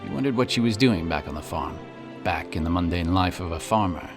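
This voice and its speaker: Male fantasy narrator. Deep register, scripted storytelling delivery, clear music bed underneath. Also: expressive, a little fast.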